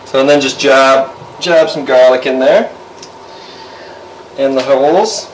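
A man's voice: mid-range voice, unhurried, slight background noise. A young man casually talking through cooking a roast in his home kitchen, rambling with lots of fillers. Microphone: camera mic from across the room. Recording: roomy, distant camera sound.

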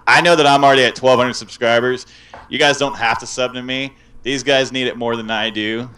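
A man's voice: mid-range voice, steady tone, fairly quick, bright, forceful tone, low-quality recording. A man talking casually and frankly as an interview guest, loose and swearing now and then.

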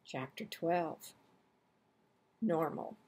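Slow, husky older woman reading aloud. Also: low-pitched. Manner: expressive, acts out dialogue and action. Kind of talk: children's book read-aloud. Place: small home room, indoors.

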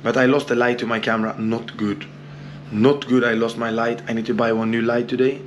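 A man's voice: medium pitch, little pitch movement, a little fast, highs cut off, a little hiss or hum. Boastful Brazilian-accented man vlogging in a hotel room. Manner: loose, boastful, broken English, repeats words. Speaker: travel vlogger. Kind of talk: casual vlog talk to camera. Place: small hotel room, indoors. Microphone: camera held at arm's length.

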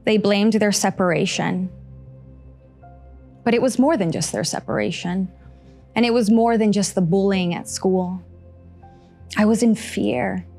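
A woman in her thirties from Costa Rica, telling a personal story on stage with slow, earnest pauses into a handheld mic. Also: mid-range voice, darkish tone. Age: 30s. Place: small stage in front of seated audience.

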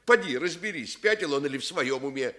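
An actor playing a scheming, fawning restaurant director in a Soviet radio play, wordy, folksy and wheedling. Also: male voice, on the high side, fairly quick. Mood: indignant, gossipy. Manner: obsequious, wheedling, wordy and excitable.

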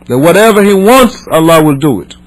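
A man with a somewhat high-pitched voice gives a religious lesson as an imam from Senegal. He is not a native English speaker. He reads and explains, repeating phrases emphatically and putting rhetorical questions to his listeners. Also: lively intonation, fairly quick, low-quality recording. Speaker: imam teaching a religious class.

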